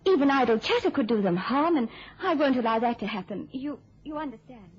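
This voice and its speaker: Vintage radio play, muffled: earnest young nurse, emotive, highish and swooping. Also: female voice, lively intonation, brisk, slight background noise. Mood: firm, protective. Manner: earnest, emotive, crisp phrasing. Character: caring young working woman, a nurse, earnest and protective. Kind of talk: mid-century radio drama acting. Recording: muffled old-time radio recording.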